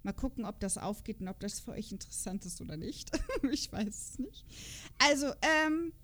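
A woman's voice: mid-range voice, very animated, normal speed, a bit bright, slight background noise. A woman hosting a podcast in casual chat about audio dramas, talking in a chatty, self-deprecating way. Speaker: podcast host.

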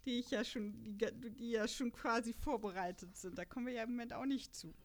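Chatty, higher-voiced German woman podcasting. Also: a little fast, a little hiss or hum. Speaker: podcast host. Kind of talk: casual podcast chat about an audio drama series.

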